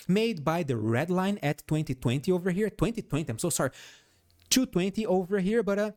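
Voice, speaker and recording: A man's voice: a little high, darkish tone. A man speaking English as a second language with a noticeable foreign accent. He walks through stock charts with emphatic, repeated phrases and keeps checking in with the viewers. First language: not English. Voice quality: noticeable non-native accent. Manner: emphatic, repeats phrases, frequent "all right?" check-ins. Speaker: stock market analyst. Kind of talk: technical analysis commentary over charts.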